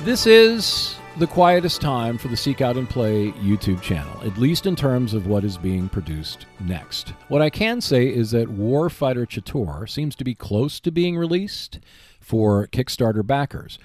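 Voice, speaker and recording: A man's voice: medium pitch, big pitch swings, unhurried, faint music behind. A man hosting a solo gaming podcast, explaining and giving tips in a conversational way. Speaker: podcast host.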